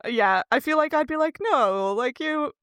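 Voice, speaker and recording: A woman co-hosting a casual book discussion in a conversational way, quick to laugh. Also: sits high, lively intonation, a bit bright, no echo. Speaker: podcast co-host.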